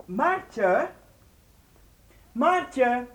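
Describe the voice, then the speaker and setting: Animated, eager young assistant. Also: male voice, very high-pitched, very slow, husky, exaggerated voice. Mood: nervous. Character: eager young male assistant, loyal, polite, conspiring. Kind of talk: scripted children's audio drama acting.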